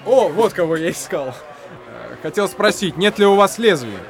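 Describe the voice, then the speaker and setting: An actor playing a zealous, pedantic party philologist in a radio drama, savoring cruelty and lecturing with hesitant fillers. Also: male voice, high voice, sing-song, normal speed, gravelly, soft background music, heavily acted. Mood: gloating relish. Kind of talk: Russian radio drama acting.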